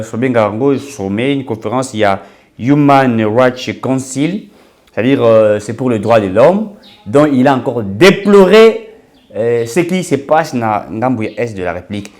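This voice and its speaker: A man's voice: medium pitch, expressive, a little slow, a little mellow. Congolese guy reading news n commenting, french w lingala bits, lots of euh and restarts. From Democratic Republic of Congo. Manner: mixes French with Lingala, hesitant fillers and restarts. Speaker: online news show host.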